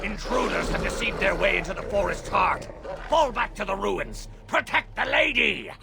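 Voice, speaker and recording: Game casting: protective beast-man guard, extremely gravelly and raised in pitch, music loud behind. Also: lively intonation, moderate pace, heavily acted. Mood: urgent alarm. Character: fierce protective male werewolf guard. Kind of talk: fantasy game acting.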